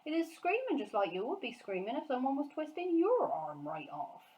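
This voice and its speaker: A woman's voice: sits high, big pitch swings, normal speed, dull audio, voice pushed up. A woman voicing a big, friendly male giant from a children's storybook, earnest and emphatic, full of childlike wonder, speaking in quaint muddled grammar. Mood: earnest, grave. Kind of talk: acted children's storybook dialogue.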